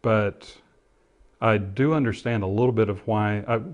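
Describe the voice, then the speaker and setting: A middle-aged man giving interview testimony in a halting, reflective way as he explains what he remembers. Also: lowish voice, fairly even intonation. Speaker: interviewee, former air traffic controller and pilot.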